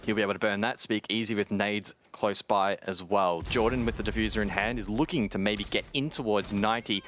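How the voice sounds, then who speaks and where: A man's voice: on the low side, steady tone, a little fast, a bit bright, a little hiss or hum. A man giving colour commentary on an esports match, analytical and wry, teasing his co-caster.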